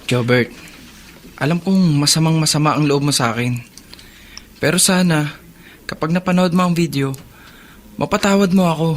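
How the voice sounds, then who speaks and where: Man playing higher-voiced, guilt-laden older brother. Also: moderate pace, pauses often, slight background noise, natural voice. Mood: pleading. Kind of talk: Filipino radio drama acting.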